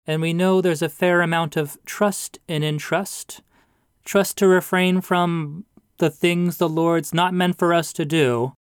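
A booming, pompous pastor preaches in a high, lifted voice, stretching out his words with great self-importance, as a man puts him on. The tone is smooth and resonant, and the recording is dry and close. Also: fairly even intonation, unhurried, no echo, lightly acted. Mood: sanctimonious.

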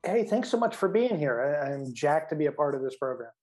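A man's voice: fairly high pitch, very animated, brisk, darkish tone, soft background music. A middle-aged man from the American Midwest with an American accent, talking casually about himself as a guest author in an interview.

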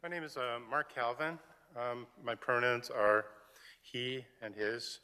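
A man from Hawaii, a congregation musician, speaking softly and haltingly, then singing a song. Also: medium pitch. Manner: soft-spoken, hesitant. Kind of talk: spoken intro then singing with ukulele. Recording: loud ukulele accompaniment.

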